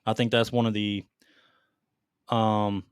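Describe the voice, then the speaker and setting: A man's voice: on the low side, flat delivery, slow, clear, resonant tone, dry recording, clean studio sound. A man hosting a gaming podcast, chatting casually and emphatically with plenty of swearing. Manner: profane, emphatic fan talk. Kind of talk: casual chat, counting down favorites.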